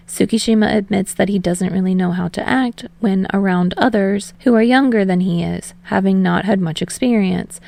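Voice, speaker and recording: A woman's voice: medium pitch, vocal fry, a little mellow, no room sound. A woman reading scripted fiction aloud as an audiobook narrator.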